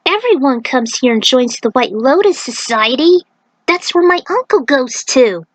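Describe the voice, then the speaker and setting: An eager young martial arts monk acted out by a woman reader, proud and emphatic. The pitch is lifted high above ordinary talk, in a dry storybook reading. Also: expressive, measured pace, no room sound. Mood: enthusiastic.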